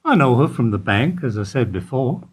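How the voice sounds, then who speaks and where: Husky, guarded older bank employee, played by a man. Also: medium pitch, big pitch swings. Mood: guarded, terse. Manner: guarded, deliberate, evasive. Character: evasive older male bank foyer employee under questioning. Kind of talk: radio play acting.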